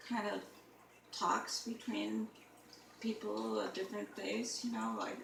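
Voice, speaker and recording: A woman talking casually and haltingly, with many "you know" fillers. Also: mid-range voice, steady tone, gravelly. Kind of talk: casual conversation. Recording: echoey room, mic far off, noisy.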